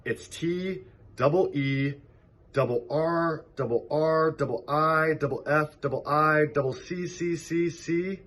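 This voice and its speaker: Man putting on a high, fussy storybook gander. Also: slow, fairly bright tone. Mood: fussy, eager. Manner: repeats words, stammers over long words. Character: repetitive, fussy male gander on a farm.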